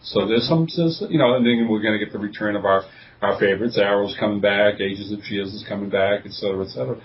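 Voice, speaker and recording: A man's voice: lowish voice, steady tone, fast, far from the mic. A man chatting informally as a co-host in a casual group podcast. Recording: dull, lo-fi podcast audio.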